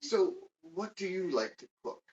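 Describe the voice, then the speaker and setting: A man's voice: high-pitched, sing-song, a little mellow. A man in his forties, a dating coach, chatting casually and often restarting his words. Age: 40s. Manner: halting, stammering restarts. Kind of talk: casual conversation. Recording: dull, distant mic, light noise.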